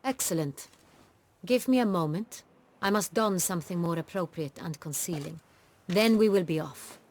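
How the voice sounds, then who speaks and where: An actress playing a proud, strong-willed duchess in a fantasy game, speaking in a formal, commanding, regal manner. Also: female voice, fairly low pitch, normal speed, darkish tone, close to an everyday voice.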